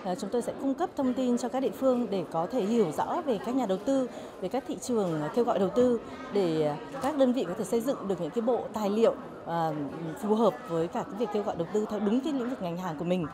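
A woman's voice: medium pitch, fast talker. A woman in her forties, an official, interviewed in an exhibition hall, hesitating with many filler sounds. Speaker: investment promotion official. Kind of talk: on-camera interview. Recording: crowd chatter behind.

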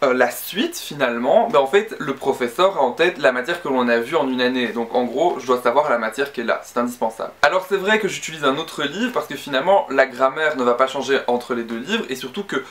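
A young man talks straight to his webcam from his bedroom, sharing study advice in a chatty, earnest way. He stumbles over fillers and restarts his sentences as he goes. His voice sits at an ordinary pitch for a man. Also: lively intonation, a little fast, hardly any pauses, a bit bright. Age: young adult. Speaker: student vlogger. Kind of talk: casual study-tips vlog. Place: bedroom with bookshelf and screen.

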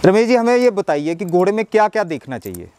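A man's voice: high-pitched, very animated, fast talker, a little mellow. A man in his thirties hosting an interview outdoors, asking friendly, chatty questions on a clip-on mic. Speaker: interviewer, channel host. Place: outdoors by a farm pool. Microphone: clip-on lapel mic.

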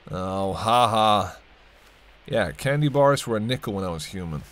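A man's voice: fairly low pitch, lively intonation, unhurried, a bit bright. A man playing a sardonic but protective vampire in an intimate roleplay audio drama, bantering and speaking straight to the listener. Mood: playful, sardonic. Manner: bantering, wry, murmured asides. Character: teasing, sardonic male vampire, centuries-weary but protective.

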